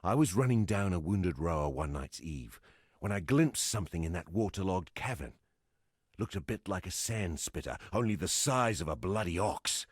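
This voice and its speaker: Weary fantasy game survivor, very low gravelly voice, salty, wry, grimly practical, swinging intonation. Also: male voice, animated, normal speed, no echo, studio quality. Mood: practical, cautionary. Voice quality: gravelly, husky. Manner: rough, plain-spoken, salty, wry. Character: gruff, weary male exile fighter, an ex-smuggler camp leader, grimly practical. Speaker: non-player quest character. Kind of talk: fantasy video game dialogue.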